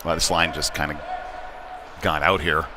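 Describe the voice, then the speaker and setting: A man doing live play-by-play of a youth hockey game in a halting, folksy, conversational way. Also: rumbling low, flat delivery. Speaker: play-by-play commentator. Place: indoor ice rink during a game. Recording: noisy arena, clear rink ambience behind.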